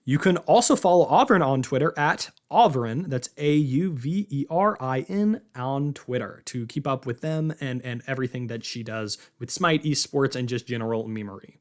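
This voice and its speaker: A man's voice: mid-range voice, expressive, normal speed, few pauses. A man in his early twenties hosting a podcast in a casual, informal, rambling way. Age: early 20s. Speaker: podcast host.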